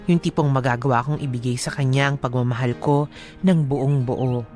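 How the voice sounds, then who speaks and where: A woman's voice: a bass voice, steady tone, moderate pace, darkish tone. Woman radio co-host over light music. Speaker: radio co-host and letter reader. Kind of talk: letter reading and lively chat.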